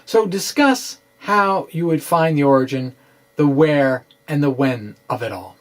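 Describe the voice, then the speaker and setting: Slow-paced middle-aged man presenting. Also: a little high, expressive. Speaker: science blogger presenting. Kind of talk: dramatic reading of a science explainer. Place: small indoor room with bookshelves. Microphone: microphone close in front on a stand.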